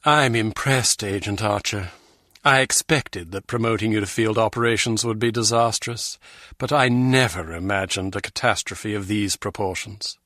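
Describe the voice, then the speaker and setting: An actor playing a pompous intelligence superior in a video game, condescending and sarcastic, with formal phrasing. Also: male voice, fairly low pitch, moderate pace, pushed and bright, no room sound, studio quality. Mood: scornful.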